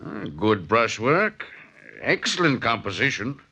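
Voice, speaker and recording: An actor playing a cultured, fussy male art expert in a 1940s radio mystery, speaking in hesitant, measured, precise phrases. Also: medium pitch, big pitch swings, sharp tone, husky, full character voice. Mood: appraising.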